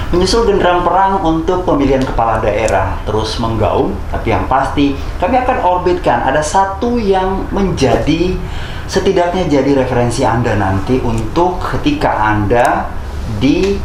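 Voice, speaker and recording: Animated male talk show host, echoey room. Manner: animated, jumps in pitch, mixes in English phrases, many backchannels. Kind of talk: lively interview hosting. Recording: echoey room with clear background noise.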